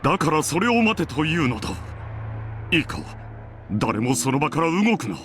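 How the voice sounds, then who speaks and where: A man's voice: fairly high pitch, big pitch swings, quick pace, fairly bright tone, slight background noise. An actor playing a shaken, overbearing middle-aged heir in a visual novel, commanding and insistent in his agitation. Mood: agitated, commanding. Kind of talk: Japanese visual novel voice acting. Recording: music behind the voice.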